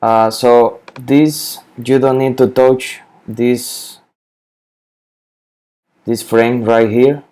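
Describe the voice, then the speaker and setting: Guy slowly walking you through a spreadsheet, mellow voice, keeps restarting his words. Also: medium pitch, steady tone, clear, resonant tone. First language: Spanish. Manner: halting, repeats words, many fillers. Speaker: spreadsheet tutorial maker. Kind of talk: screen-recorded software walkthrough.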